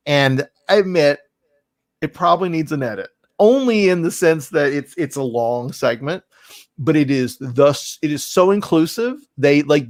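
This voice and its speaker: A man hosting a casual podcast interview, speaking in an effusive, emphatic, rambling way with a creaky voice. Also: a little high, no room sound, studio quality. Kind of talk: casual, enthusiastic interview chat.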